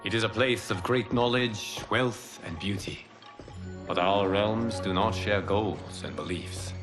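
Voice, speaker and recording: An actor playing a solemn, commanding fire god and mentor in a video game, speaking in a formal, measured way. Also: male voice, fairly low pitch, lightly acted. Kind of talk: video game cinematic acting. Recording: loud music behind the voice.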